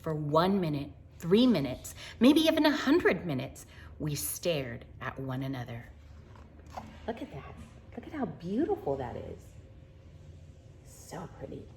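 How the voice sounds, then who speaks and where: A woman reading a picture book aloud to children in an expressive, wonder-filled way, with chatty asides and hushed moments. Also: low-pitched, measured pace, a little hiss or hum. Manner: animated, wonder-filled, addressing young listeners, dropping to hushed tones.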